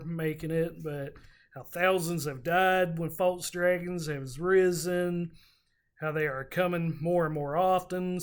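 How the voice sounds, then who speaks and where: A co-host casually recapping and discussing a book, telling the story in an informal, slightly halting way. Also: mid-range voice. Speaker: podcast co-host.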